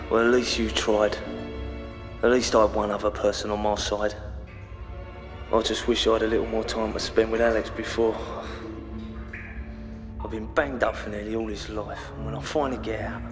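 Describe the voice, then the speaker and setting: A man's voice: fairly low pitch, little pitch movement, moderate pace, over music, close to an everyday voice. An actor playing a bitter, cornered ex-convict father in a video game, blunt, with a London accent. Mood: defeated, bitter. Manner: blunt, embittered. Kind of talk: video game cutscene acting. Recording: long echoing reverb.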